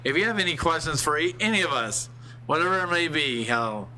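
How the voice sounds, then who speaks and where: An easygoing man, born in California, hosts a casual stream and rambles through family stories with plenty of uh's. His voice is very bright and a little high, with faint noise behind it. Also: animated, fairly quick, poor audio. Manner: rambling, many uh fillers, easygoing. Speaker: host. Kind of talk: casual storytelling on a livestream.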